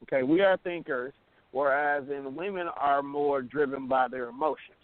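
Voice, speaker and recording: A man's voice: mid-range voice, fairly even intonation, normal speed. A middle-aged man co-hosting a radio talk show, giving his opinions in casual, emphatic, colloquial chat. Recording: thin phone-like band-limited sound.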